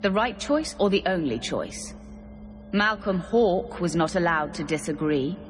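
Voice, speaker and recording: A woman's voice: on the low side, expressive, a little slow, slight background noise. An actress playing a cold, menacing female villain in a fantasy video game, taunting and commanding.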